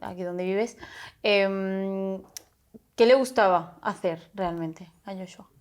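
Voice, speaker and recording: A woman's voice: medium pitch, steady tone. Curious woman asking questions. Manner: curious, encouraging, quick follow-up questions. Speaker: interviewer. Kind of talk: casual interview chat.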